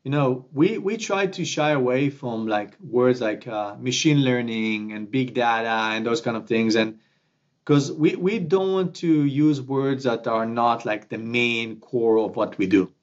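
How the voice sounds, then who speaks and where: A man, a founder answering questions in a remote interview, animated and prone to restarts. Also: mid-range voice, a little slow, muffled recording. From Israel. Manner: animated, gestures with both hands, many restarts. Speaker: company founder guest. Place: small office at home, indoors. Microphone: webcam at desk, video call.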